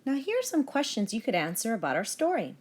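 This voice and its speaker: A woman's voice: a little high, huge pitch swings, quick pace, breathy edge. A woman narrating a read-aloud for young children, with vocabulary and questions. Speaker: story reader.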